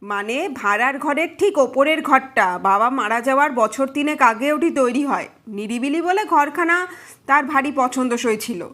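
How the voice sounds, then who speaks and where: Woman voicing an eager young villager, higher-pitched. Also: pushed and bright. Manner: explanatory, eager interjection. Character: earnest young villager explaining his late father's room.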